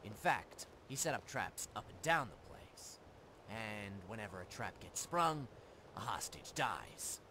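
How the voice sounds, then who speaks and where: Man acting a sly fence with swooping pitch. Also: very animated, unhurried. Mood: businesslike, conspiratorial. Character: shady male fence and thief boss, sly, businesslike. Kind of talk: video game character dialogue. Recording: noisy ambience behind the voice.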